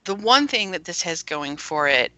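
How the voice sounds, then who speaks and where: A woman's voice: fairly low pitch, big pitch swings, normal speed, sharp tone, dry recording. A woman speaking as an interview guest, explaining things through casual anecdotes. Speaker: guest, founder of an internet radio station.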